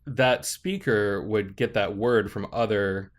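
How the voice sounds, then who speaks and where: A man, a professor on a podcast as a guest, explaining ideas in a measured, thoughtful way and checking in with "right?" as he goes. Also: on the low side, fairly even intonation. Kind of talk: explanatory interview talk.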